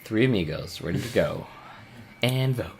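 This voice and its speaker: Animated man chatting while gaming. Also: fairly low pitch, normal speed, gravelly. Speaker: let's play player. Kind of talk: casual chat while playing a game. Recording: faint background noise.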